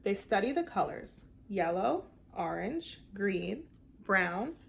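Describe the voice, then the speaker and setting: A woman reads a children's picture book aloud with an animated, deliberate storytelling delivery. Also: medium pitch, vocal fry, slightly rough. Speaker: storybook reader.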